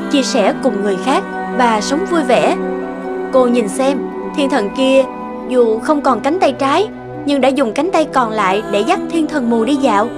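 Very high-voiced gentle young woman. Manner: earnest, polite, explanatory. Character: gentle, selfless, terminally ill young woman. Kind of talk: sentimental cartoon dialogue. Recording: music behind the voice.

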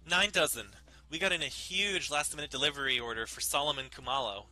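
A man's voice: fairly high pitch, brisk, pushed and bright, pitched above the performer's own voice. A man voicing an irritated, sarcastic young woman who works as a baker in a visual novel. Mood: exasperated sarcasm. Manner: exasperated, sarcastic.

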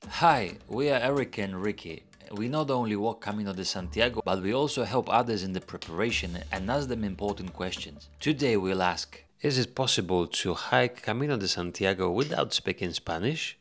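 Male host, scripted intro, fairly low voice. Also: expressive, moderate pace. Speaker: channel host.

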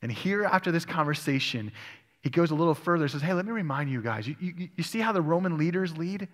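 A man's voice: a little high, animated, fast, fairly bright tone. A male pastor preaching a sermon, reading scripture aloud and asking his congregation questions. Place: church sanctuary. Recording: long church hall reverb.

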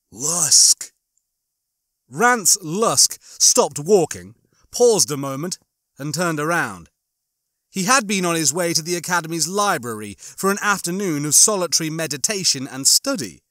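A man reading a horror novel as an audiobook narrator, in a dramatic, emphatic style with drawn-out phrases. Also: on the high side, big pitch swings, bright, forceful tone, no echo, clean studio sound. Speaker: audiobook narrator and channel host.

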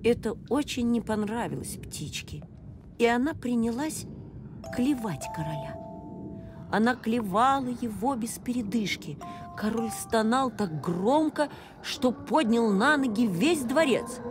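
A woman reading a fairy tale as an expressive storybook narrator. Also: a little high. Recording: music behind the voice.

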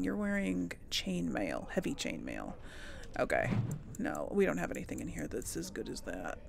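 A woman's voice: medium pitch, gravelly, a little hiss or hum. A woman hosting a casual game playthrough, thinking aloud hesitantly and murmuring to herself as she goes. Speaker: gaming video host.

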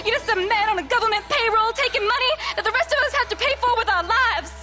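A woman's voice: very high-pitched, fast talker, over loud music. An actress playing a bitter frontier townswoman in a Western video game, scolding and impassioned. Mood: indignant, anguished.

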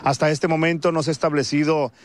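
A man's voice: on the high side, steady tone, fast talker. A middle-aged man from Mexico, reporting live from a street, speaking off the cuff with fillers and restarts. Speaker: field reporter. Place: outdoors on a residential street. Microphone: handheld mic.

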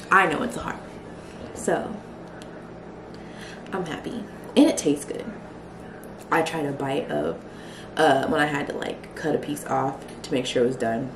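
A young woman talking casually to her camera at home, soft and reflective, a little emotional. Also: deep voice, recorded from a distance, background noise. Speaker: vlogger. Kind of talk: casual vlog talk. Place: small home kitchen and living room. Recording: roomy, from the mic, music behind.

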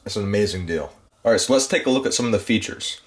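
A man's voice: fairly low pitch, lively intonation, fast. A man giving a casual, plainspoken tool review, with frequent ums and uhs. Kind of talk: casual product review voice-over.

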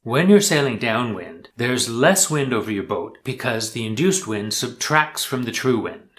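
An older man, a sailing instructor, explaining sailing concepts in calm, measured, scripted narration. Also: mid-range voice.

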